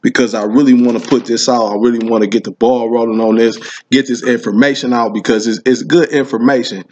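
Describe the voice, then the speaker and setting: A man's voice: mid-range voice, fairly even intonation, fairly quick, a little mellow, dull audio. A man hosting a casual interview, speaking informally and repeating his phrases for emphasis. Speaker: podcast host interviewing a caller.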